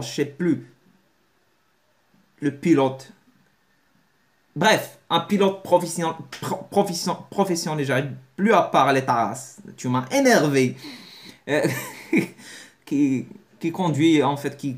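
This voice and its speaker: Animated man talking to camera. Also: on the high side, unhurried, pauses often. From Morocco. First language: Moroccan Arabic. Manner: animated, blunt, slangy. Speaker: vlogger. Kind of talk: casual monologue to camera.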